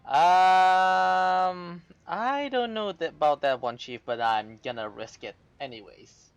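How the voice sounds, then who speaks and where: A man's voice: sits high, animated, slow pace, clean tone. Dull-sounding man casually reacting to a game. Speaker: streamer. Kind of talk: casual gameplay commentary, reacting. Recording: dull lo-fi mic, game music behind.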